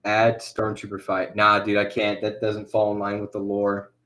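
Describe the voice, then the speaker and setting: A man's voice: fairly low pitch, monotone, moderate pace, a bit bright. A young man chatting casually on a livestream while he animates. He rambles, with lots of fillers and restarts.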